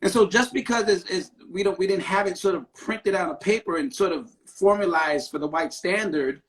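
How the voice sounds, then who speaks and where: Animated higher-voiced man on a live stream. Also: moderate pace, low-quality recording. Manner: animated, emphatic, frequent "right?" tags. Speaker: podcast host; therapist. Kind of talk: casual conversation on a live stream.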